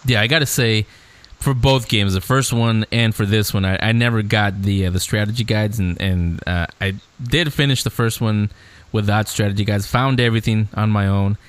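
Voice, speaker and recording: A man's voice: lowish voice, normal speed, clean tone. A man hosting a radio show in casual, chatty conversation.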